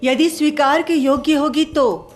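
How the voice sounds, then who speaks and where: Radio play role: headstrong young heroine, high-pitched, unhurried, formal and theatrical. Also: female voice, steady tone, soft background music. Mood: polite, firm. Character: spirited, curious young woman, polite but headstrong. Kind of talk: Hindi radio play acting.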